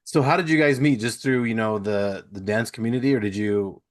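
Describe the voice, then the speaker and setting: Male host, middle-aged, low register, casual conversational interviewing. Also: brisk. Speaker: podcast host.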